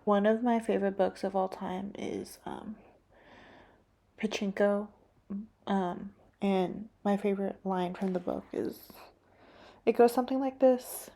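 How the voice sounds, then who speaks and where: A woman painter giving a soft, halting, reflective talk about her painting, full of fillers and drifting into whispers. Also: medium pitch, fairly even intonation, gravelly.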